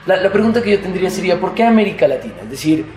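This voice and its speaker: A man's voice: sits high, expressive, rapid-fire, a little mellow. Male interviewer in an echoey room. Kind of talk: conversational literary interview. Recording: roomy echo, faint background noise.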